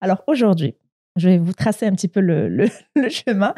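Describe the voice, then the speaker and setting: A woman's voice: medium pitch, sing-song, brisk, warm tone. A woman hosting a podcast in a casual, eager way, chatting with her guest and prompting them. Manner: eager, interjects with short prompts. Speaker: podcast host. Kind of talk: casual interview chat.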